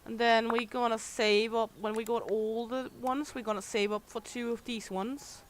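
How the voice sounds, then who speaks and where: A woman's voice: on the high side, flat delivery, moderate pace, slight background noise. A middle-aged Danish woman with a thick Danish accent, chatting candidly with her livestream chat while playing a game. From Denmark. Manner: chatty, candid, reads and answers chat aloud. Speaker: streamer.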